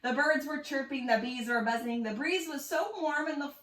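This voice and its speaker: A woman's voice: sits high, normal speed, a bit bright, slight background noise. A woman expressively reading a rhyming children's story aloud. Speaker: storybook reader. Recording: echoey room, distant mic.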